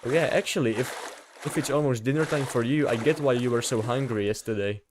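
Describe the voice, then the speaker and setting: A man's voice: medium pitch, a little fast, noisy background. A man casually chatting with his viewers while streaming a game, laughing often and answering chat.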